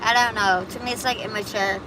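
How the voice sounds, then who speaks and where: A woman's voice: mid-range voice, steady tone, a little fast. Chatty woman streaming amid traffic. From Buffalo, New York. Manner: chatty, rambling, reacts to chat comments. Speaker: livestream host. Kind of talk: casual live chat answering viewers.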